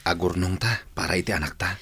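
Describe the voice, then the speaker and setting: A man's voice: low voice, fast talker, vocal fry, fairly bright tone, slightly rough, no room sound, full character voice. A man with an Ilocano accent acting a repentant husband and father in a radio drama, earnest and pleading in manner. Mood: earnest, remorseful.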